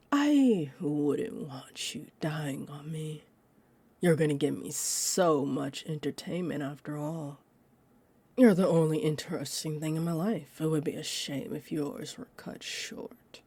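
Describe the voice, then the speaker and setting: A woman playing a possessive, condescending, centuries-old female vampire in an audio roleplay, speaking to the listener in a haughty, amused manner that drifts toward breathy murmurs. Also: low voice, a little mellow, dry recording, exaggerated voice. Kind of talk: roleplay audio drama monologue, addressed to listener.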